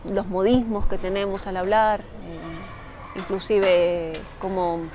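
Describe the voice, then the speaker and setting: A woman's voice: mid-range voice, flat delivery, takes it slowly. A woman giving informal interview answers, hesitantly searching for words. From Argentina. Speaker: documentary interviewee. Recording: faint background ambient noise.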